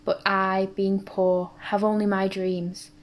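A woman reading a classic poem in a soft, even way. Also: medium pitch, slow.

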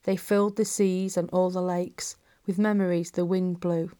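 A woman's voice: lowish voice, little pitch movement, normal speed, mellow. A woman reciting a mournful poem of loss in a subdued way.

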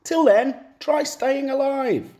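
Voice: sits high, slow pace, dark tone, pitched above the performer's own voice. A reader doing a prim, sickly man on the shore. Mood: fussy. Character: fussy, sickly man on the shore, oddly calm.

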